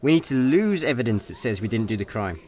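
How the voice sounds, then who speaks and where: A man's voice: medium pitch, very animated, fast, warm tone, close to an everyday voice. A Scottish man playing a bumbling, chatty space layabout in a comedic radio play, rambling and exasperated. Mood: clueless, puzzled.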